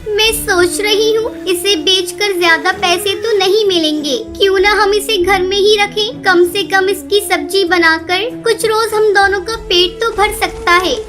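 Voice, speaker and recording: A woman voicing an anxious, caring bird wife in an expressive, earnest Hindi children's cartoon style. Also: very high voice, unhurried, few pauses, music in the background. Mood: earnest, hopeful.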